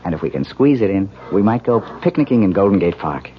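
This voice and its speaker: Attentive newlywed husband, low voice, very lively intonation, casual, radio drama, muffled sound. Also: male voice, fast talker. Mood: breezy. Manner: casual, solicitous. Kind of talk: 1970s radio drama acting. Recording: muffled old radio broadcast, faint noise.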